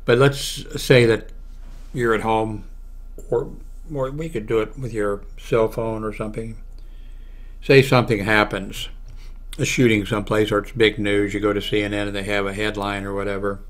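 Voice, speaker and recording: An American man in his seventies giving a casual, unscripted computer walkthrough, haltingly thinking aloud. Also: on the low side, flat delivery. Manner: halting, thinking aloud while clicking through pages. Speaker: video blogger giving a how-to demonstration. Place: small room at home, indoors. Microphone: large desktop microphone close to the mouth.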